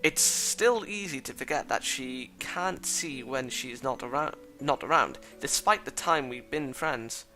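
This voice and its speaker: A man's voice: mid-range voice, measured pace, few pauses, bright, forceful tone. A man reading a thoughtful teenage schoolboy's lines and inner narration in a plain, reflective way, with faint music behind.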